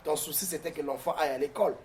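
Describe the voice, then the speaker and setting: A pastor from southern Congo-Brazzaville, whose first language is Mbembe, answering interview questions in a declamatory, preacherly way with frequent hesitations. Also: male voice, a little high, rapid-fire, slight background noise. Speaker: pastor and guest. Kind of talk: interview answers turning to a prayer.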